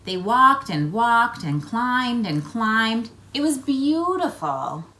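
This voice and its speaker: A woman reading a picture book aloud to children, with expressive emphasis and chatty asides addressed to the listeners. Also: fairly high pitch, slow. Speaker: read-aloud host for children.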